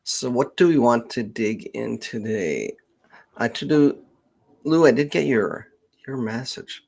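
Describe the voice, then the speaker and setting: A man hosting an unscripted livestream Q&A, speaking haltingly as he thinks aloud. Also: medium pitch, lively intonation, creaky, darkish tone, slightly rough. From Canada. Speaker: genealogist hosting a live Q&A.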